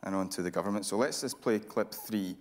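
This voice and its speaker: A man hosting a journalistic interview on stage, deliberate and pausing mid-phrase to stress his points. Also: lowish voice. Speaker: interviewer and host. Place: radio theatre stage.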